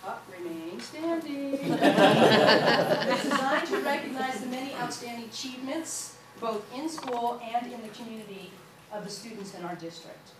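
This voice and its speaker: A woman's voice: fairly high pitch, expressive, normal speed. A woman presenting a student award at a board meeting, reading aloud with small slips and fillers. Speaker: school official presenting an award. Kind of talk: reading a formal introduction at a meeting. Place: large meeting room. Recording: echoey hall, picked up from far off, with noise.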